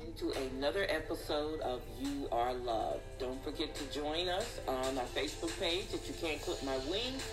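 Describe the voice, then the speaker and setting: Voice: mid-range voice, moderate pace, hardly any pauses, over music. A host speaks in a middle register, giving a casual motivational talk. The delivery is chatty and encouraging, and it rambles into asides as if talking straight to regular listeners.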